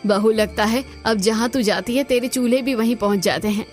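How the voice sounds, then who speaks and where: एक औरत जो एक स्नेही, बड़ी उम्र की सास को आवाज़ देती है।. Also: female voice, a little high, fairly quick, soft background music, lightly acted. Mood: fond. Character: affectionate older mother-in-law.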